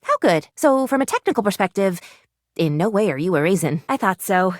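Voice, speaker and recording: Actress for eccentric female medic, game character: lively, swooping delivery, wordy and earnest, very dry close recording. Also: mid-range voice, fairly quick, no echo, natural voice. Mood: probing, matter-of-fact. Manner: quirky, precise, wordy, earnestly awkward. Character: eccentric, eager female medical student, a household object come to life. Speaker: video game voice actor. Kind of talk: animated video game character dialogue.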